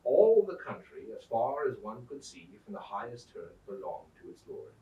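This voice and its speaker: A man reads a storybook aloud with theatrical, deliberate phrasing. His medium-pitched voice rises and falls in a lively way. It is recorded at a distance from the microphone in an echoing room. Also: muffled recording. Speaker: Santa storyteller reading aloud. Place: indoors at a museum. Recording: roomy echo, distant microphone.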